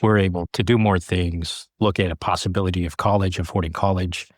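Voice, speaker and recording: A man in his late thirties with a low-pitched voice recounts his own path as an interview guest. He speaks earnestly, laying out his story in short, measured phrases. The sound is dry, with very little room around the voice. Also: fairly even intonation, no echo. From California, United States. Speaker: air traffic controller, podcast guest. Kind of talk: interview storytelling, personal career account.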